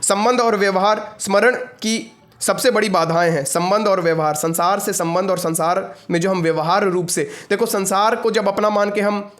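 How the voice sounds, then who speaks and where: Young man, high-pitched voice, spiritual teacher, informal devotional discourse, earnest, instructive, repetitive emphasis. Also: fairly even intonation, moderate pace, a bit bright. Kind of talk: devotional discourse, mixing reading with informal explanation.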